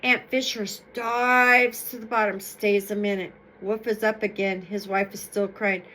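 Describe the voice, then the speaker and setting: A woman reading stories aloud in an expressive, emphatic, explanatory way. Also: medium pitch, unhurried. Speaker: storyteller reading folktales aloud. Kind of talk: expressive read-aloud storytelling with informal explanatory asides.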